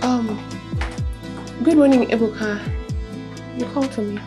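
A woman's voice: fairly high pitch, a little slow, music in the background, close to an everyday voice. A woman playing a lovesick employee who reproaches her married boss, pleading and tearful by turns. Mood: apologetic. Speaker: office assistant.

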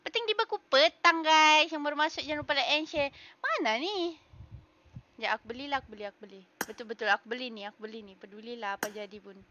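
A woman's voice: high voice, fast, bright, forceful tone, muffled recording, slight background noise. A young woman, chatting casually and playfully as a game streamer. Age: young adult. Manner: giggly, playful, echoes game voice lines. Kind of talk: casual livestream chat.

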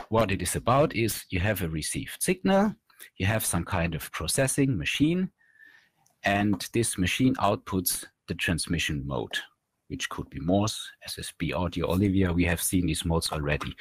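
A man's voice: low voice, husky, no echo. A man in his thirties giving a technical slide lecture, explaining things step by step on a headset mic. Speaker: researcher giving a conference talk. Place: indoor room, recorded over webcam.

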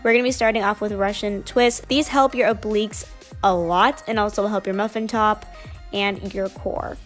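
A woman's voice: medium pitch, fairly even intonation, normal speed, faint music behind. A young woman narrating her gym workout in a casual, upbeat voice-over. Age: young adult. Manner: casual, chatty, upbeat explaining. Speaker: fitness vlogger.

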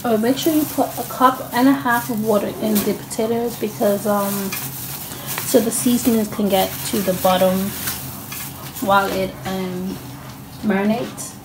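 A woman's voice: mid-range voice, steady tone, measured pace, noisy background. A woman casually talking through a home cooking video in her kitchen, hesitant, with many ums and restarts. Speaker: cooking vlogger.

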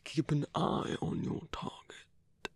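A man playing a smooth, seductive villain in an ASMR roleplay, teasing and coaxing in a breathy voice that often drops into a whisper. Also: no room sound, lightly acted. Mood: playful, seductive. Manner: slow, teasing, coaxing, with long pauses. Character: smooth seductive male villain tempting a captive hero.

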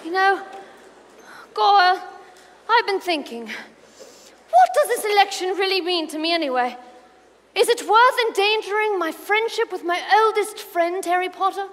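High, haughty schoolboy rival, played by a woman. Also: animated, a little slow, long pauses. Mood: earnest, musing. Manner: pompous, theatrical, old-fashioned phrasing. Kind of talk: comic musical staged reading. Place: on stage in a large hall. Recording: echoey live hall, music behind.

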